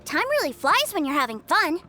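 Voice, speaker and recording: A woman playing an innocent, polite little boy in a video game, childlike, earnest and excitable. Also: sits high, a little fast, soft background music, full character voice. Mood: bright, hopeful. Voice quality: childlike, bright.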